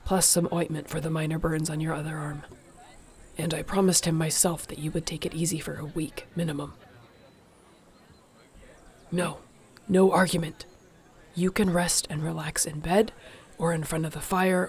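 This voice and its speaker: A woman playing a protective, devoted orc warrior girlfriend in an intimate audio roleplay, speaking softly, breathily and earnestly. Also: low-pitched, vocal fry.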